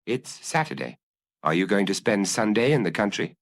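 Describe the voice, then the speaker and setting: Man reading as a deliberate, probing police chief. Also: fairly low pitch, animated, dry recording, close to an everyday voice. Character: calm, probing middle-aged male police chief. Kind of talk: acted audiobook dialogue.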